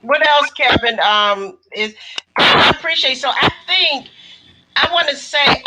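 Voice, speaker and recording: A woman in her fifties hosting a casual video call chat, animated and given to exclaiming. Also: a little high, very noisy. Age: 50s. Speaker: host.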